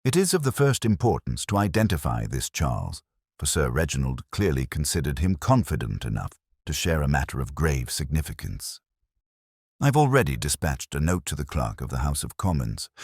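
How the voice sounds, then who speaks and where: A man voicing a calm, incisive consulting detective in a mystery audiobook, with a crisp, deliberate manner. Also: extremely low pitch, no room sound, studio quality, full character voice. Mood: deliberate, musing.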